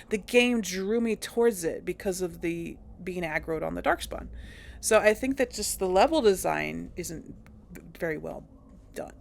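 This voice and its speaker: A woman hosting a casual game playthrough, talking in a rambling, hesitant way with many fillers and restarts. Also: medium pitch. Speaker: let's play host. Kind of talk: casual gameplay commentary.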